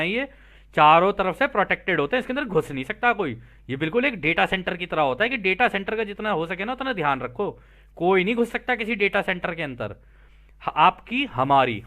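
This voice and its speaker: High-pitched, animated man explaining. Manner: explanatory, addresses listeners directly with frequent check-in tags. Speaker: host and explainer. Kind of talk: reading a translated text with casual spoken commentary.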